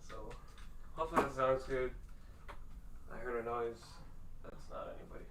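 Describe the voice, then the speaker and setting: A man's voice: medium pitch, normal speed, fairly bright tone, slight background noise. A man who is sick, talking casually and self-consciously into a desk microphone at home as he sets up a game stream, with restarts and fillers. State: sick, with a lowered voice. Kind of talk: casual live-stream chat. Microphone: desk USB microphone, with headphones on.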